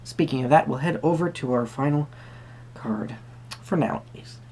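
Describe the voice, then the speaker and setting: A performer playing a whimsical magical tarot reader, musing hesitantly in a soft-spoken roleplay with a creaky voice. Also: medium pitch, slight background noise, natural voice. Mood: musing, gentle. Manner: hesitant, musing, pausing with hums.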